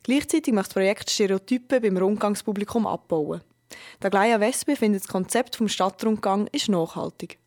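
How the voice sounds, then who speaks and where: A woman's voice: medium pitch, moderate pace, a little mellow. Woman reading a radio news feature. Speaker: radio reporter. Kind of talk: scripted news feature narration.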